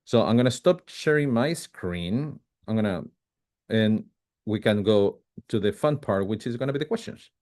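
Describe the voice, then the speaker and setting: A man's voice: fairly low pitch, expressive, a little mellow. A man from Chile, giving a policy presentation over a video call with frequent fillers and restarts. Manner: halting, many fillers and restarts, quick bursts. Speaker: advocacy presenter.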